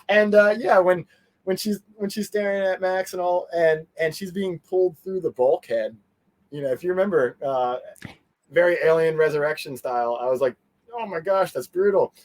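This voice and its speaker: Rambling, animated man chatting casually. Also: high voice, normal speed. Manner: animated, meandering, trails off mid-sentence. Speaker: interview guest, fan convention organizer. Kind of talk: casual rambling interview chat.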